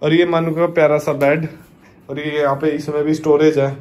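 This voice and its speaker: A man's voice: a little high, little pitch movement, moderate pace, a little mellow. Young man chatting on a house tour. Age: young adult. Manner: chatty, addresses viewers as "bhai". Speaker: vlogger. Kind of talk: casual house tour vlog. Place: indoors in a family home, walking room to room. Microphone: handheld action camera.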